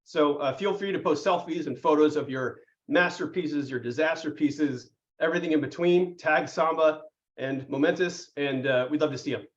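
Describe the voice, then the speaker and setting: A man's voice: a little high, normal speed. Chatty upbeat man on a lo-fi call. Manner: chatty, upbeat, punny, many fillers. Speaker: host. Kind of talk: live online class hosting. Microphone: video call.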